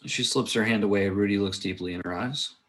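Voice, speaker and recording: A man's voice: lowish voice, brisk, fairly bright tone. A man narrating stage directions from a script at a table read.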